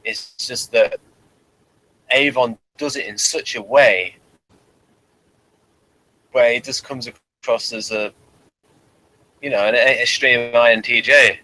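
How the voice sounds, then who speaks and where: A man with a bright, forward voice at a medium pitch hosts a casual review chat. He asks questions and lays out his points in a measured, analytical way. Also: steady tone, low-quality recording. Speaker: host asking for a rating.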